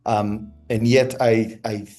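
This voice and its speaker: Mellow low-voiced storytelling man. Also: takes it slowly, soft background music. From Israel. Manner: relaxed storytelling with frequent ums and restarts. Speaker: interview guest, negotiator. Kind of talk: conversational interview answers.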